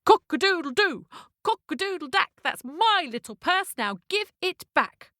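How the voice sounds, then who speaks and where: A woman's voice: extremely high pitch, big pitch swings, measured pace, bright, forceful tone, dry recording, studio quality, pitched above the performer's own voice, exaggerated voice. A woman voicing a plucky, loyal and indignant talking cockerel in a children's story. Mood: indignant, crowing. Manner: exclaiming, protesting.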